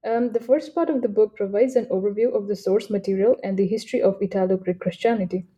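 A woman's voice: medium pitch, fast, mellow. A woman hosting a podcast and reading out a guest introduction, with small slips and restarts. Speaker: podcast host.

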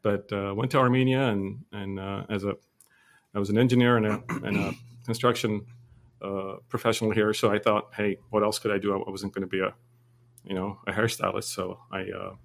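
A man in his forties from Lebanon, telling his life story as a guest in a casual interview, steady and measured with frequent fillers. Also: fairly low pitch, vocal fry.

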